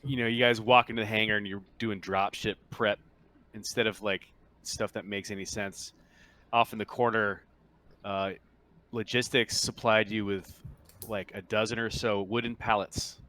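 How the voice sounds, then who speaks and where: A man's voice: lowish voice, flat delivery, moderate pace, bright, forceful tone. A man casually narrating a tabletop roleplaying game as the game master. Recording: clear background noise, clicks and typing.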